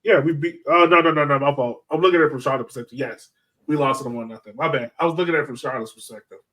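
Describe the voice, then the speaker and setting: A man's voice: fairly high pitch, very fast, a bit bright. A man hosting a podcast, chatting casually about sports in an informal, bantering way. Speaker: podcast host.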